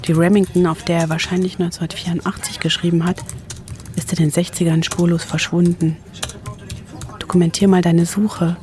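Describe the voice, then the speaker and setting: A woman's voice: fairly low pitch, level tone, a little fast. A woman in her forties delivering first-person narration for a radio documentary, as its author. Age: 40s. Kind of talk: first-person scripted narration with phone calls.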